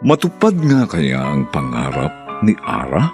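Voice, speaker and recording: A man narrating and announcing a radio drama in a solemn, theatrical, deliberate scripted style. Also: a bass voice, wildly swooping pitch, loud music behind the voice.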